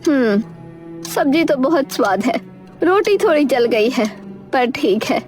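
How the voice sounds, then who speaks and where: A woman's voice: sits high, lively intonation, measured pace, a little mellow, soft background music. A woman voicing a demanding, complaining old village woman in a children's cartoon. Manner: complaining, bossy. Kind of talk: Hindi children's cartoon voice acting.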